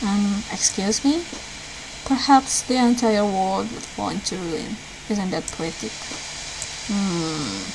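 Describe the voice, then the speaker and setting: A woman's voice: medium pitch, slow, noisy background. A woman reading visual novel dialogue aloud as a let's player, lightly acting out the lines. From Indonesia. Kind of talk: read-aloud game dialogue, acted lightly.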